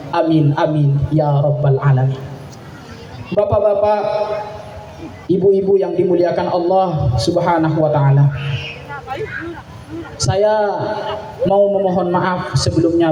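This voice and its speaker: A man's voice: sits high, warm tone. A young man from Madura, preaching a sermon to a gathering and reciting Arabic in a rising, declamatory way. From Madura, Indonesia. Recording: echoey hall, distant mic, faint ambience.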